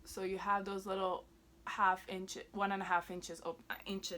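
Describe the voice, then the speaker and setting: A woman's voice: mid-range voice, little pitch movement, normal speed, fairly bright tone. A woman whose first language is Spanish, chatting upbeat through a sewing tutorial and narrating each step as she works at the machine. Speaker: sewing instructor.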